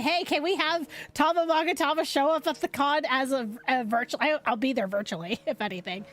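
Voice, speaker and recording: A woman's voice: high voice, fairly bright tone. A young woman streaming as a VTuber, chatting casually with viewers in a rambling way, a little sniffly from a cold. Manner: rambling, many restarts and fillers, chatty. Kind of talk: casual livestream chat.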